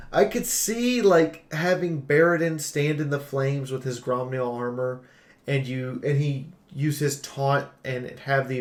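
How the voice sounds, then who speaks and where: Male game reviewer, mid-pitched, rambling and casual with animated slangy asides, recorded in an echoey room. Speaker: video game reviewer and streamer. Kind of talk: casual unscripted game commentary.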